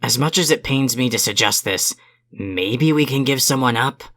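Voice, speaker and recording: Man acting creaky, grumpy young alien cadet. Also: medium pitch, moderate pace, fairly bright tone, lightly acted. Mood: reluctant. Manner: exasperated, sarcastic, gruff. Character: grumpy, foul-mouthed, secretly caring young male alien cadet. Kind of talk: fan audio drama character acting.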